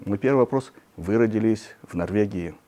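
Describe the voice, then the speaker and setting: Mellow-voiced male interview host. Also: fairly low pitch, fairly quick. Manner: formal, announcer-like introductions. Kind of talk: formal interview hosting.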